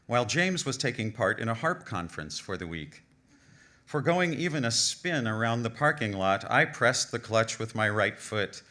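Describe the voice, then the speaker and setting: A man reads a humorous personal essay at a live event, with a wry, measured, literary delivery and deliberate emphasis. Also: medium pitch, pushed and bright. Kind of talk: scripted storytelling, humorous travel essay read aloud. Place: live reading on stage at an indoor venue.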